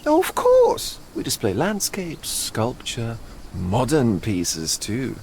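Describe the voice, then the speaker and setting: A man's voice: mid-range voice, huge pitch swings, slow, a little mellow, full character voice. A genteel, theatrical male art dealer for a game cutscene. His florid, teasing phrases rise and fall dramatically, with a sly, probing undertone. Faint ambient noise sits behind the voice. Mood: courteous, suave. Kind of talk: video game cutscene acting.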